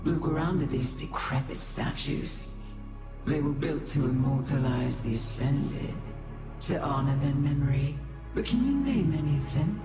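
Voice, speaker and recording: An actor playing a grim, scornful foe in a video game, with a measured, solemn, accusing manner. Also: male voice, on the high side, animated. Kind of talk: dramatic video game monologue. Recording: echoey space, music behind.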